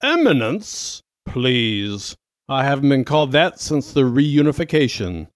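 A man's voice: mid-range voice, big pitch swings, measured pace, dry recording, clean studio sound. A man playing a stern old cardinal in an audio drama, grave, suspicious and zealous, with a sermonizing manner.

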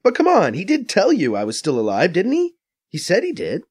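A man puts on a cocky, foul-mouthed young female agent in an audiobook, pitched up high with wild swings in tone. She teases, swears and fires off explanations in a quick, sharp-tongued way. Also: slightly rough, exaggerated voice. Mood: impatient. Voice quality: raised, lively, cocky voice. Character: brash, profane, teasing female undercover agent. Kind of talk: audiobook character voice.

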